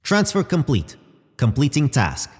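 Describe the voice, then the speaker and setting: A calm, obliging AI for a sci-fi audio drama. The voice is husky and formal, with lively swings in pitch and precise wording. A long reverb trails every phrase. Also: male voice, full character voice. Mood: measured, formal. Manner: measured, formal, precise reporting. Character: Gal, calm obliging male artificial intelligence.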